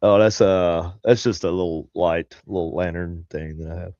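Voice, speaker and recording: A man's voice: sits low, steady tone, a little mellow, no echo, muffled recording. Nasal man with a head cold. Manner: rambling, halting, many fillers. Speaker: podcast co-host. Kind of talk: casual chat. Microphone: home desk setup on a video call.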